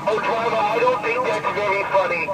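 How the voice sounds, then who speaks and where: A man voicing a stubborn, naive, deadpan heckler who taunts other drivers over CB radio. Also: high voice, normal speed, close to an everyday voice. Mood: deadpan taunting. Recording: noisy CB radio transmission.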